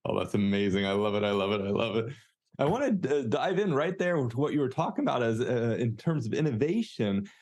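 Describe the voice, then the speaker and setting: A man's voice: mid-range voice, big pitch swings, fairly quick, darkish tone. A man hosting a podcast, reading the intro and then asking questions with short encouraging affirmations. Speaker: podcast host.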